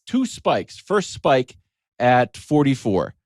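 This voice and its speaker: A man's voice: medium pitch, sing-song, slow, darkish tone, no echo, studio quality. A middle-aged American man telling a story as a show host in a casual, wry comic monologue, acting out little quoted asides.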